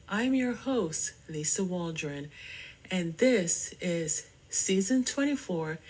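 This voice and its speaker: Slow-paced woman podcaster, chatty and rambling. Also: medium pitch. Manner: chatty, rambling, addresses listeners directly. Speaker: podcast host. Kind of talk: casual solo podcast talk.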